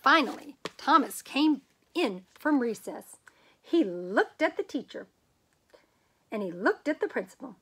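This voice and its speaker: A woman reading a children's picture book aloud as a storyteller, with expressive, dramatic emphasis and drawn-out words. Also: sits high, slight background noise.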